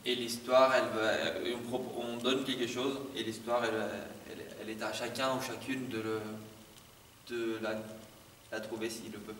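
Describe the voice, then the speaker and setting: A man answers interview questions in a flat, even tone, measured and a little hesitant. His voice is mid-pitched and sounds distant, in an echoey hall with faint noise. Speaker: circus juggler. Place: theatre hall.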